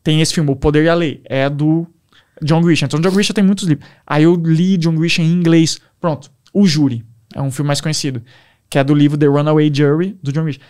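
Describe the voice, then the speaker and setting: A young man with a Brazilian Portuguese accent, a podcast guest telling stories in a casual, animated way. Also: a little high, a little fast, clear, resonant tone. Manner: animated, enthusiastic storyteller. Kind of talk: casual storytelling.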